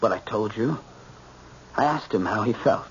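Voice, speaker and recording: A man's voice: fairly low pitch, lively intonation, moderate pace, husky. An actor playing a shaken, brooding young American man, a grown son in an old-time radio drama, intense and insistent. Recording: muffled, faintly noisy old radio recording.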